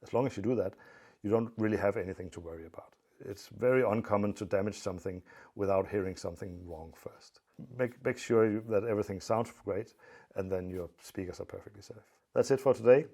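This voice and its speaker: Deep, mellow-voiced man explaining technical matters. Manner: measured, explanatory, matter-of-fact. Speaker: audio expert presenter.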